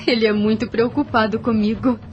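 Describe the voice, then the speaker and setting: High-voiced, gentle young wife played by an actress. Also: female voice, fairly even intonation, a little fast, muffled recording, faint music behind, natural voice. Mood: affectionate. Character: gentle, devoted young pregnant plantation wife. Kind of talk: audio drama acting.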